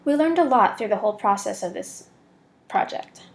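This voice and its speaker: A woman's voice: medium pitch, animated, moderate pace. Student presenter, young American female, mid-range voice, scripted slideshow narration. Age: young adult.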